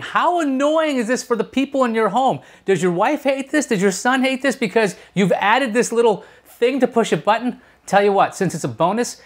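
A man in his thirties presents a tech product review in a casual, talkative way. He explains things step by step and puts rhetorical questions to the viewer. He is recorded indoors in a small room at home, a short distance from the mic. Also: sits high. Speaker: tech show host.

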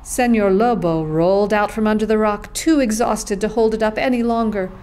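A woman's voice: medium pitch, normal speed, a little mellow, a little hiss or hum. A woman narrating a scripted children's story as the narrator of a puppet show.

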